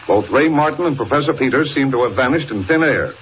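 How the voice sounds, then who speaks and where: An actor playing a brisk, urgent newspaper boss in 1940s radio style, speaking with emphatic authority. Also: male voice, medium pitch, animated, lightly acted. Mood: grave, urgent. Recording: muffled, noisy 1940 radio recording.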